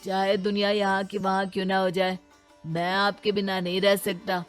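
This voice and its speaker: A woman's voice: medium pitch, monotone, moderate pace, soft background music, natural voice. Give me a woman voicing an earnest, simple young man. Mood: naive, earnest. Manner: earnest, pleading. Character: simple, devoted, gentle young man, husband and son.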